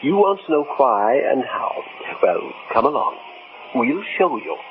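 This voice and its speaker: A man's voice: medium pitch, big pitch swings, unhurried. A man playing an elderly, courtly, fanatical former professor in an old-time radio drama, speaking formally and pompously. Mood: fervent, grandiose. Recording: noisy, narrow-band vintage radio recording.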